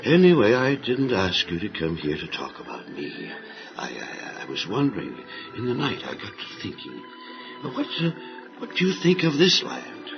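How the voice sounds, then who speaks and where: A man's voice: lowish voice, moderate pace. An actor playing a weary, devout aged father in a biblical audio drama, speaking in a kindly, hesitant way. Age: older. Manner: hesitant, rambling, expressive. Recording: muffled radio drama, music underneath.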